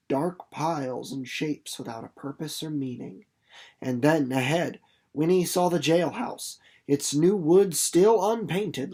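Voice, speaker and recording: A storyteller with a medium-pitched voice reads literary fiction aloud from a script. The delivery is dramatic, shaping each phrase for suspense by easing off in speed and volume. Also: mellow, husky. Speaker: audiobook narrator reading a novel chapter.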